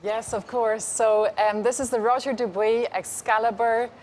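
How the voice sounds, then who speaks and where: A woman presenting a watch on a show, talking in a deliberate way with some fillers. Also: fairly high pitch, fairly even intonation, darkish tone, slight background noise. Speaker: brand presenter. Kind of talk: product presentation.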